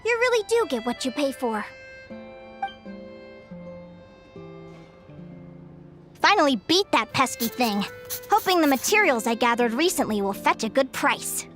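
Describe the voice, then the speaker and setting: A woman's voice: high voice, very animated, brisk, a bit bright, soft background music. A woman voicing a young adventurer in a game cutscene, eager and full of wonder as she talks to herself. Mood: relieved. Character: young woman adventurer dreaming of owning a ship.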